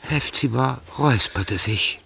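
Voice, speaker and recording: A man narrating a radio drama in a slow, gravelly, measured way. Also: mid-range voice, sharp tone, slight background noise.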